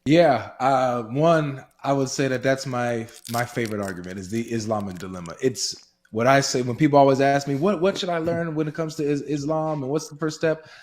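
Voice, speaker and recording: A man's voice: mid-range voice, a little fast, a little mellow. A man appearing as a guest on a talk show, earnestly explaining an argument and acting out quoted exchanges. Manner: earnest, builds points with quoted dialogue.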